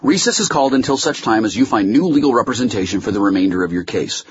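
A man's voice: lowish voice, lively intonation, fast talker, slightly rough, lo-fi sound, voice pushed up. A man voicing a stern, impatient female judge in an audiobook, formal, curt and cutting. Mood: stern, final.

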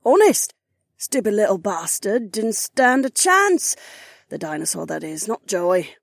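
A woman's voice: mid-range voice, very animated, a little slow, vocal fry, no room sound, clean studio sound, heavily acted. A woman playing a brash, outspoken, sweary townswoman with a feisty, blunt manner in an audiobook. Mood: smug.

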